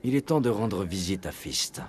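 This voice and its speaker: An actor playing a resolute, no-nonsense military commander in a video game, terse and businesslike. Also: male voice, sits low, animated, moderate pace, darkish tone, a little hiss or hum.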